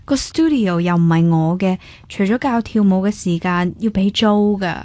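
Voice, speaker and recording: A woman's voice: medium pitch, normal speed, warm tone, natural voice. A woman with a Hong Kong Cantonese accent acting a determined, thrifty young dance teacher in a radio drama, in a plain, casual, matter-of-fact way. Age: young adult.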